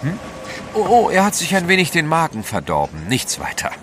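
An actor playing a courteous, composed gentleman adventurer with a wry streak in an audio drama. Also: a little high, huge pitch swings, brisk. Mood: covering up. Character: gentleman adventurer, courteous, protective husband or lover. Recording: clear ambient noise behind.

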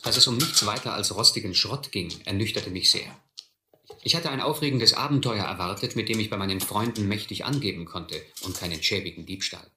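First-person radio drama narrator, reflective, lowish, very bright tone. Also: male voice, brisk, roomy sound, a little hiss or hum. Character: reflective man recalling his boyhood adventure. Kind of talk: scripted radio play narration.

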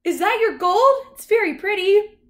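A gushing, friendly storybook dog played by a woman reader, pitched well up high. She is chatty and eager to please, with a cheerful, apologetic temper. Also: lively intonation, moderate pace.

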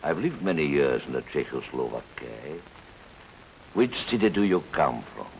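A very deep-voiced prying refugee. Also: male voice, sing-song, normal speed, gravelly, slight background noise, heavily acted, rough recording. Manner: probing, insinuating. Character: prying, suspicious older male refugee. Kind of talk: 1950s TV drama acting.

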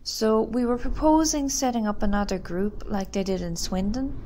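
A woman playing a brisk, businesslike Irish village committee chairperson in an amateur radio drama. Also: a little high, mellow, natural voice. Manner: brisk, matter-of-fact. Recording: noticeable background noise.

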